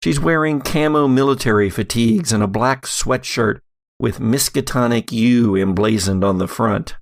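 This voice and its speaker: A man's voice: medium pitch, normal speed. A man narrating a scripted audio drama in a dramatic storytelling style. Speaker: narrator and game master.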